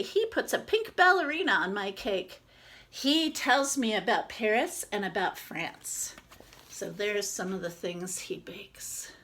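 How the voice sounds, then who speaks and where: A woman reading a picture book aloud to children, expressive, with casual chatty asides to explain it. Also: mid-range voice. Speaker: children's read-aloud presenter.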